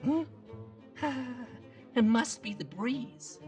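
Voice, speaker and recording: A woman's voice: on the high side, animated, extremely slow, mellow, recorded from a distance, faint music behind, voice pushed up. A woman voicing a mischievous, easily spooked young shepherd boy in a bedtime storybook, hesitant and theatrical. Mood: puzzled.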